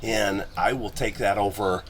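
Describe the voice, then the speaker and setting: Gravelly-voiced man over clear background noise. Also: fairly low pitch, fairly even intonation, unhurried, fairly bright tone. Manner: rambling, colloquial, occasional swearing. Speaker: podcast guest, musician. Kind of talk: casual podcast conversation.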